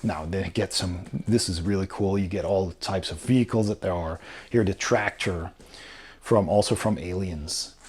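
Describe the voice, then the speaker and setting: A man's voice: deep voice, moderate pace, a little mellow, slight background noise. A man giving a casual, unscripted review as host, with an informal, enthusiastic way of talking. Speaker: board game reviewer, host.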